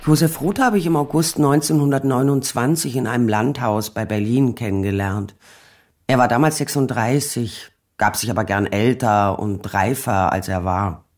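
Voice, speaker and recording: A woman's voice: rumbling low, moderate pace, a little mellow. An actress playing a frank, worldly woman looking back reflectively on a former lover in a radio drama. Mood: frank, fond.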